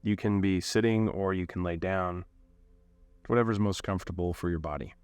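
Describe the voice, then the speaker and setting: Man, guided meditation, deep smooth voice, calm gentle delivery, long pauses. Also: dry recording.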